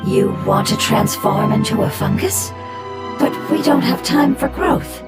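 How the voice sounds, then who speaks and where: A performer voicing a small forest spirit creature in a video game, who speaks in a formal, solemn, breathy way. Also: medium pitch, expressive, measured pace, lightly acted. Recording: loud game soundtrack playing behind. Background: loud game soundtrack music.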